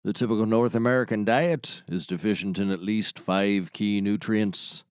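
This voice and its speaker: Man acting low-voiced shady comedy sidekick. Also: moderate pace, clear, resonant tone, no room sound, studio quality, natural voice. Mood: earnest pitching. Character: gruff male sidekick, shady ex-mercenary turned product pitchman. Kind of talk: scripted comedy audio drama.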